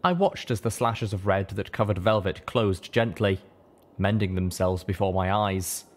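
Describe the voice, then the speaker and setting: A man reading first-person fiction as an audiobook narrator, with measured, dramatic storytelling. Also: deep voice.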